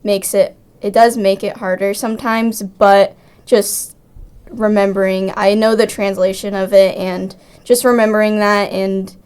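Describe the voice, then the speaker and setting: A woman's voice: medium pitch, little pitch movement, unhurried, a little mellow, clean tone. A teenage girl, a student director and cast member, answering interview questions chattily with lots of ums and likes. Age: teens.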